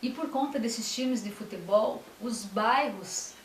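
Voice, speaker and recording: A woman's voice: a little high, takes it slowly. Woman lecturing in an echoey room. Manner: many fillers, speaks in short phrases. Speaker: author giving a talk. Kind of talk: lecture on local history. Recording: echoey room, mic from far off, faint hiss.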